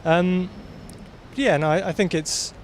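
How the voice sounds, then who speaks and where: A man on a city street answering in a brisk, mildly incredulous way. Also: high-pitched, slight background noise. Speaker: passer-by.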